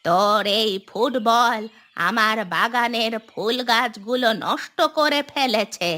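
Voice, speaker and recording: A woman's voice: on the high side, slow pace, sharp tone. A woman voicing a stern old village grandmother in a cartoon, scolding and indignant. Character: stern, grumpy old village grandmother guarding her garden. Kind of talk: cartoon voice acting.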